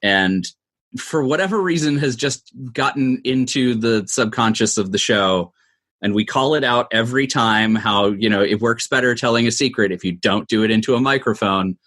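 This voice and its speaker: A man's voice: mid-range voice, moderate pace, fairly bright tone. A man giving thoughtful, conversational answers as an interview guest, explaining things with frequent asides. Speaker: interview guest, audio drama maker.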